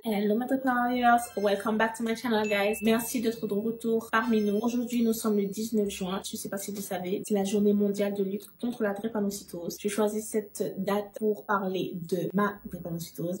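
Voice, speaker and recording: A woman's voice: mid-range voice, warm tone, rough recording. A young woman from Saint-Martin in the French Antilles giving a personal testimony as a vlogger, speaking earnestly and repeating phrases for emphasis.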